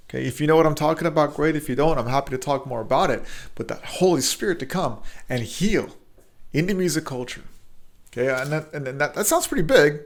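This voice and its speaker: A man hosting a motivational podcast, earnest and prone to repeating words and restarting, who also speaks Hebrew. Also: fairly high pitch. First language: bilingual, speaks Hebrew. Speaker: podcast host.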